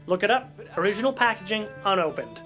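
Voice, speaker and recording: A woman's voice: medium pitch, big pitch swings, quick pace, a bit bright, soft background music. A woman playing a pompous, pedantic male collectibles seller who is grudging and indignant, in a comedic audio drama. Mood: smug, bargaining.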